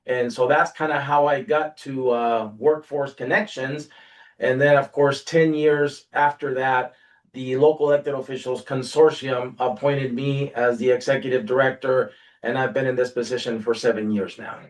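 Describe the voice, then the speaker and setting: Man in his 60s, a bit off-mic. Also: medium pitch. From Peru. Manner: relaxed storytelling with frequent "uh" pauses. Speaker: guest, workforce agency director. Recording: voice slightly distant from mic.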